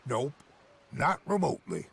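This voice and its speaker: A man voicing a gruff, cynical American gunman in a western video game, blunt and grudging, with a gravelly voice. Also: medium pitch, very animated, unhurried, a bit bright, heavily acted. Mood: dry, grudging. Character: gruff, cynical Old West gunman guide.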